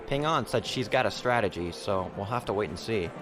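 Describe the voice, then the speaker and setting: A man's voice: on the low side, brisk, music in the background, lightly acted, poor audio. Man acting a patient, easygoing starship captain. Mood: businesslike. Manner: calm, informal. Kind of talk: audio drama acting.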